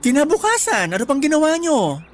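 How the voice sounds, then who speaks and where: A woman's voice: sits high, huge pitch swings, brisk, faint music behind. A woman playing a nosy, joking close companion, with exclamatory, mock-exasperated banter in a casual radio drama. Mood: amused, teasing.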